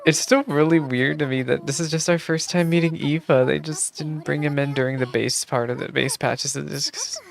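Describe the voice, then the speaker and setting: A woman livestreaming a game playthrough, chatting casually with viewers in a gushing, expressive way. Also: sits low, normal speed, gravelly, hardly any pauses. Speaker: streamer reacting to a game playthrough. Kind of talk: casual livestream chat and commentary. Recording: game music behind the voice. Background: game music.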